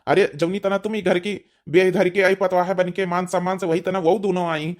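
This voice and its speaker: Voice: mid-range voice, level tone, moderate pace, close to an everyday voice. An actor playing a reasoning older village husband in an Awadhi cartoon comedy, lecturing and repeating points. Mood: admonishing. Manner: lecturing, repetitive.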